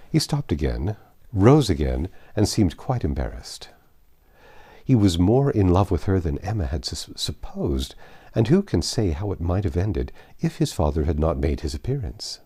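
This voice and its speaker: A man reads classic fiction as a narrator, in a very low, mellow voice. His delivery is expressive, with lively rises and falls through the scripted prose. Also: moderate pace, slightly rough. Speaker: audiobook narrator.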